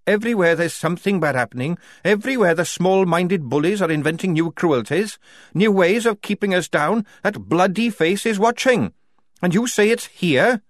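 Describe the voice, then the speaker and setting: Male narrator doing a furious young female private, high, loud and growling. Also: expressive, normal speed, dry recording, studio quality. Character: angry young female soldier, bitter and defiant.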